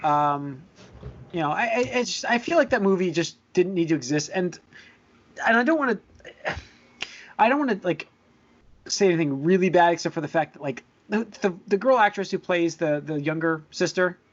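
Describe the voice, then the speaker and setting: Man, podcast host, slightly high voice. Halting, hedging, conversational delivery. Dull, lo-fi sound. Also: expressive. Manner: halting, hedging, repeats words. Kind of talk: casual chat about movies and shows. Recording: dull lo-fi voice recording.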